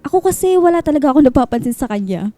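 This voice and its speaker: A woman's voice: high voice, big pitch swings, rapid-fire, mellow, soft background music. A woman playing a smitten, teasing, naive young woman with a Filipino accent in a radio drama.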